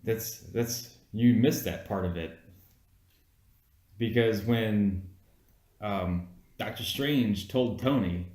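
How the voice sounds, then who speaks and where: A man's voice: lowish voice, takes it slowly, long pauses, a little mellow, roomy sound. A man co-hosting a casual movie review, explaining his points insistently in loose, sweary conversation. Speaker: co-host of a movie review podcast.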